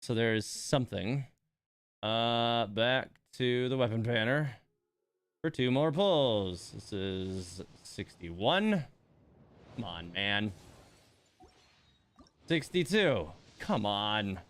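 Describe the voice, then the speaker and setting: Clear-voiced man streaming a game. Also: medium pitch, expressive, very slow, pauses often, fairly bright tone. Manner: exasperated, muttering asides to himself and viewers. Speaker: livestream host playing a gacha game. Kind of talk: casual livestream chat and commentary.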